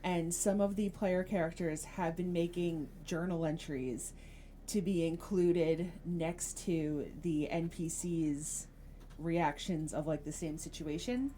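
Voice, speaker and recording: A woman with a fairly low, soft-edged voice talks casually, like a game master describing their projects. The tone is relaxed and mellow, and there is some noise behind the voice. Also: takes it slowly, rough recording. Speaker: tabletop game master promoting own shows. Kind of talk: casual chat.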